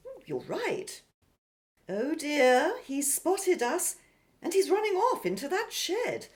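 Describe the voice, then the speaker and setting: A woman's voice: extremely high pitch, big pitch swings, takes it slowly, heavily acted. An actress playing a plucky, playful woman explorer in an old-fashioned, exclamatory radio adventure style. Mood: urgent. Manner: exclamatory, old-fashioned jolly British period speech. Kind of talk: radio adventure drama acting.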